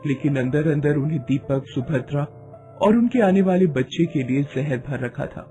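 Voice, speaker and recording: A man's voice: on the high side, normal speed, mellow, soft background music. A man narrating a scripted moral story in a dramatic storytelling manner.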